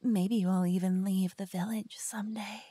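A woman voicing a cocky, playful lover who whispers and teases softly in an ASMR roleplay. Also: mid-range voice, steady tone, moderate pace, slightly rough, no echo. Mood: playful teasing. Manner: playful, teasing, soft whispering. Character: cocky playful young woman, a devoted lover waking her partner. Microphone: binaural mic.

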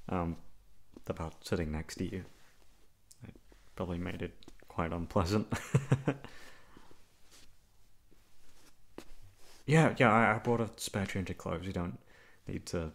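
A young man playing a shy, easygoing college classmate in an ASMR roleplay, talking casually in a hesitant, halting way. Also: deep voice, gravelly. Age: young adult. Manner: hesitant, halting, awkward chuckles. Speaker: voice actor. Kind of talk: ASMR roleplay audio drama, casual conversational.

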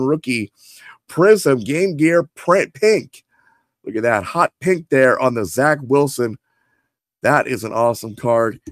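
A man in his forties, hoarse and losing his voice from a cold, eagerly presents trading cards to a webcam in his home office. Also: medium pitch, no room sound. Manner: enthusiastic salesman, showing cards to camera. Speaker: card subscription box host. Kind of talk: product preview for subscribers. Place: home office room with shelves. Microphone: webcam at desk.

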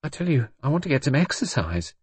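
Man voicing a high-pitched, frightened, fretful woman. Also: sing-song, fast talker, slightly rough, no echo. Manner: nervous, pleading, complaining. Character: frightened, hysterical young wife protesting her innocence. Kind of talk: audiobook character voice.

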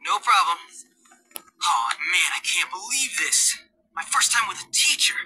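An actor voicing a cocky, sarcastic teenage schoolboy in a video game cutscene, with a husky, dry delivery. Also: medium pitch, very animated, a little slow, bright, forceful tone, heavily acted, low-quality recording. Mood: wry.

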